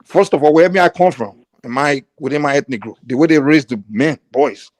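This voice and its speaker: Man, Nigerian accent, insistent, rapid-fire, dry close sound. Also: fairly high pitch, no room sound. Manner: insistent, keeps talking over interruptions. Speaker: guest on a call-in show. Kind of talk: heated panel debate.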